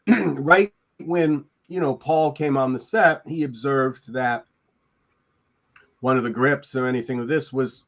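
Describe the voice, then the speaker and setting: An older man talks casually, telling stories in a halting, meandering way. His voice sits a little high for a man. The sound is dull and lo-fi, as on a video call. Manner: halting, rambling storyteller. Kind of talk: casual reminiscing. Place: indoor room on a video call.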